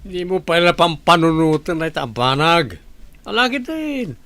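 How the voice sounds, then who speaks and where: High, creaky hard-drinking husband. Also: male voice, expressive, normal speed, pushed and bright, no echo. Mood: tipsy, coaxing. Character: hard-drinking, pleading husband of a village wife. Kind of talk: Ilocano radio drama acting.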